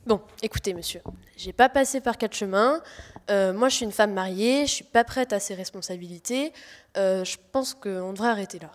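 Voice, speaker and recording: A woman's voice: mid-range voice, normal speed, lightly acted. A young woman playing a blunt, exasperated modern married woman in a staged comic audio fiction, speaking colloquially. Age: young adult. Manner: colloquial, hesitant then blunt. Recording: echoey hall reverb.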